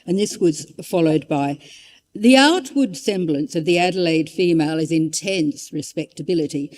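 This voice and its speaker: A woman's voice: sits low, moderate pace, darkish tone. A woman giving an informal history talk, conversational and wry, drifting into asides and reading out quotations. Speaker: public lecturer.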